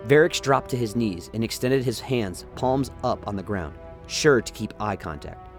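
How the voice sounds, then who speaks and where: A man's voice: lowish voice, moderate pace, soft background music. A man narrating a science-fiction radio play in a dramatic, scripted storytelling style. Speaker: narrator, lore reader.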